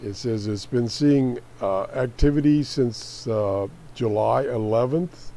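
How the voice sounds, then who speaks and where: A man hosting a hobby video with casual, unscripted commentary in a folksy, halting way. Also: medium pitch, dark tone. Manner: folksy, exclaiming, halting while browsing. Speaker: radio hobbyist video host.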